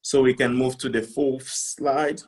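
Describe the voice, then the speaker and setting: A middle-aged man from the Democratic Republic of Congo giving a business presentation on a video call, speaking in a halting, deliberate way. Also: mid-range voice, fairly even intonation, a little mellow. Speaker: conference speaker, company founder.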